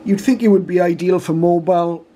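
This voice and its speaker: A man giving a casual, low-key first-look commentary on a piece of equipment, speaking hesitantly and without hurry. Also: steady tone, a little fast, dark tone. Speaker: radio hobbyist reviewer. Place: conservatory, later a home radio room. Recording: clearly noisy home recording.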